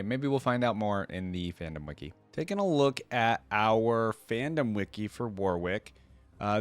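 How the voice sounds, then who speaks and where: A man with a fairly low voice gives casual reaction commentary as a streamer. He talks conversationally, as if thinking aloud, and the sound is dry with very little room. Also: dry recording. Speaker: reaction video host and streamer.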